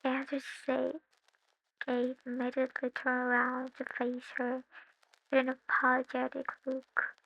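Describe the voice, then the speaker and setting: Non-native woman narrator, high, creaky voice, slow, flat reading, lo-fi. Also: no echo. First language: not English. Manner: slow, even storybook reading with occasional slips. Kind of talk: reading fan fiction aloud.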